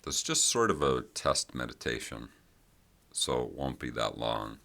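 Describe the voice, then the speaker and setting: Male meditation guide, extremely low pitch with a bright, clear-edged tone, gentle phrasing and generous pauses. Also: very animated. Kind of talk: guided meditation, unscripted instruction.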